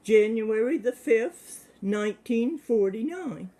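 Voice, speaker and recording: Older man in his seventies, mellow voice, plain-spoken, hesitant, pauses and uhs. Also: sits high, lively intonation. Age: 70s. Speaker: interviewee. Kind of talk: casual recollection.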